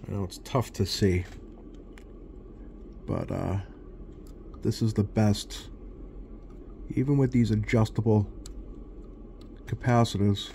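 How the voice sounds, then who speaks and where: A man giving a casual hands-on repair demonstration at his workbench, talking haltingly and pausing often as he works. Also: on the low side, gravelly, dark tone, a little hiss or hum. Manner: halting, hesitant, pausing often while working. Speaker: radio repair hobbyist presenting a bench video. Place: indoors at a workbench.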